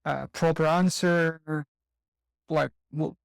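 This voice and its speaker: A man hosting a podcast interview, asking searching questions in a halting way. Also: fairly high pitch, fairly even intonation, creaky, no room sound. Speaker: podcast host. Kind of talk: casual interview chat.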